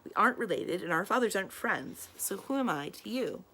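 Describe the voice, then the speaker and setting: A young girl page, played by a woman reader, guarded and stubborn. She talks bluntly and directly in a storybook read-aloud style, with a little creak in the voice. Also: medium pitch, animated, fairly quick, bright, forceful tone, voice pushed up. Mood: demanding. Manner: blunt, terse questioning.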